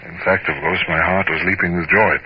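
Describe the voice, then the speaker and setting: An actor playing a proud, reserved naval captain in a 1950s radio drama, speaking formally and with measured control. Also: male voice, low voice, level tone, lightly acted. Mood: reflective, measured. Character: proud, self-controlled British naval captain, narrating his memoirs. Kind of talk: 1950s radio drama acting with first-person narration. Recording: dull, very noisy old radio recording.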